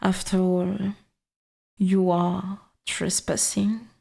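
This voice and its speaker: A woman voicing an ancient, dominant forest god in an ASMR roleplay, speaking in formal, archaic wording with a breathy half-whisper and quiet menace. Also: on the low side, little pitch movement, extremely slow, full character voice. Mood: condescending, veiled threat.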